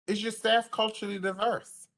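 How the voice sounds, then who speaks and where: A woman with a deep voice giving an online training to service providers, speaking passionately and conversationally. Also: little pitch movement, moderate pace. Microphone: video call.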